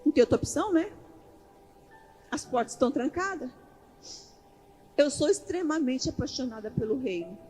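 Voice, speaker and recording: A woman's voice: high voice, expressive, normal speed, long pauses, dark tone. A Brazilian woman pastor preaching an impassioned, emphatic sermon in colloquial everyday speech, leaning on repetition and rhetorical questions. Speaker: pastor preaching at a women's church conference. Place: large indoor church event hall. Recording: echoey large hall PA, faint music.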